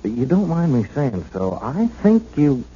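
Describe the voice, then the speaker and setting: An actor playing a kindly, plain-spoken beat cop, husky and halting, with folksy grammar, in a 1970s radio drama. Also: male voice, medium pitch, very animated, mellow, full character voice. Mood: awkward, soothing. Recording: dull, band-limited 1970s radio recording.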